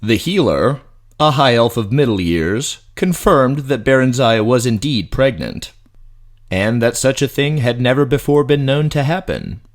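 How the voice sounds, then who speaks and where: A man's voice: mid-range voice, expressive, a little slow. A man narrating a fantasy audiobook from a script in a dramatic storytelling style.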